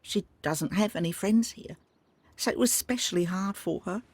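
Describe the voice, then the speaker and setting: A woman's voice: medium pitch, normal speed, a little mellow, breathy edge. An actress playing a loyal, forthright lady's maid who speaks bitterly in a video game. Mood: indignant. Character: loyal, indignant lady's maid, protective of her mistress.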